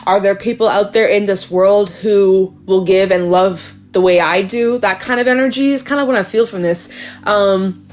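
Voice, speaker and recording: Young woman, tarot reader, stuffed-up nose, rambling casual talk, mid-pitched voice. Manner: chatty, rambling, many fillers like "um" and "like". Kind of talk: casual tarot reading to camera.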